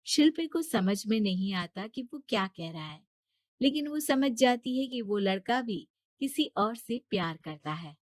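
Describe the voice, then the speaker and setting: A woman's voice: on the high side, expressive, unhurried, dry recording. A woman reading scripted story narration as the narrator.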